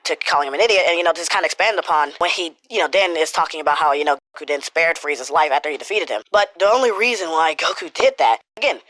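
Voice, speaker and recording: A man's voice: on the high side, fast, hardly any pauses, pushed and bright, no echo, rough recording. A man with a cold and a stuffy nose, rambling through a casual commentary with lots of swearing and filler words. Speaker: YouTuber commentator.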